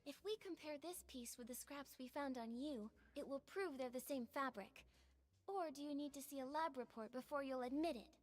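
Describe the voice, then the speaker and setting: A woman's voice: sits high, normal speed, lightly acted. An actress playing a determined female investigator in a video game, speaking in an articulate, insistent, accusatory way. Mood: accusing. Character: determined young female investigator pressing a suspect.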